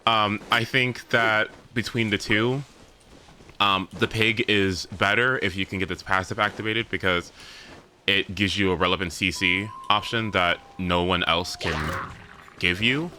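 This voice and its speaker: A man's voice: on the low side, steady tone, a little slow, sharp tone, slight background noise. A man chatting casually on a gaming stream, rambling as he thinks aloud, with some swearing. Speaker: livestreamer. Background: game music.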